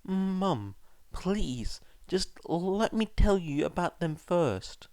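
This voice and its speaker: Storybook schoolboy, played by a man: timid, apologetic, raised pitch, hesitant stammering delivery. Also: sing-song, very slow, a little mellow, no echo, clean studio sound, heavily acted. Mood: pleading. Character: shy, nervous middle-school boy, earnest and apologetic. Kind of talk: audiobook character voice.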